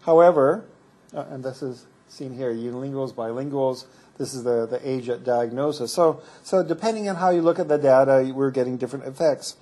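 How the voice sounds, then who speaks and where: Brief: male medical researcher at a lectern, explanatory and halting, mellow timbre. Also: mid-range voice. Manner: explanatory, frequent restarts and fillers. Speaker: medical researcher presenting findings. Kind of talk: academic conference talk with Q&A.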